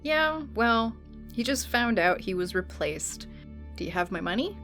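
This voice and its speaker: A woman's voice: fairly high pitch, expressive, a little slow, a bit bright, faint music behind. A woman acting in an audio drama as a cocky, unbothered bounty hunter with a dry, teasing confidence. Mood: mocking, confident.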